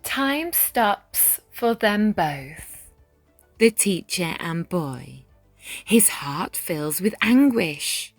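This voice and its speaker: A woman narrates a rhyming picture book with great expression. Her delivery is extremely unhurried and full of melodic rises and falls, with dramatic pauses. The recording is close and dry. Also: lowish voice, sing-song, no room sound. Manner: measured, dramatic pauses, drops into hushed whispers. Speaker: children's storybook reader. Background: background music.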